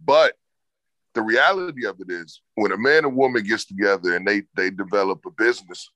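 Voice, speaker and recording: Deep-voiced, boisterous man, firm and authoritative, dry close sound. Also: fairly quick, no room sound, low-quality recording. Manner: loud, boisterous, talks with authority. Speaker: podcast co-host. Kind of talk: casual debate chat.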